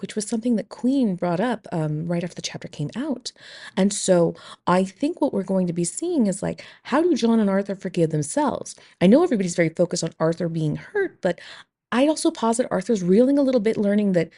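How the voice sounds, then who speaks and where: A woman's voice: mid-range voice, mellow. A woman leaving a voice message as a listener, giving a thoughtful commentary in monologue.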